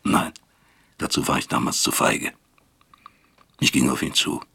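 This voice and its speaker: An extremely deep, creaky and husky male narrator in a radio play. He broods like a remorseful father, reflective, literary and bitter. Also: steady tone, a little slow, bright, forceful tone, full character voice. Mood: fearful, self-reproachful. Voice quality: gravelly, husky. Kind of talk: radio drama first-person narration.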